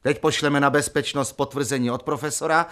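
A man's voice: fairly high pitch, fairly even intonation, a bit bright, natural voice. An actor playing a pedantic, officious Soviet house committee chairman in a radio play, talking in bureaucratic slogans. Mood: official.

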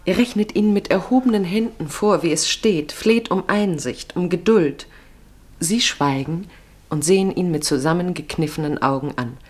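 Low-voiced woman narrating a radio play. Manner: terse, present-tense storytelling.

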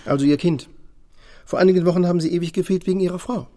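An actor playing a stern, officious department store boss in a radio drama, clipped, pedantic and condescending. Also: male voice, high-pitched, steady tone, rapid-fire, mellow, lightly acted. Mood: cold threatening. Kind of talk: German radio drama acting.

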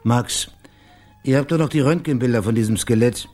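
An actor playing a tough, cynical private investigator with a dry, clipped delivery in a German radio crime drama. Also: male voice, medium pitch, moderate pace, mellow, faint music behind, lightly acted. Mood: matter-of-fact.

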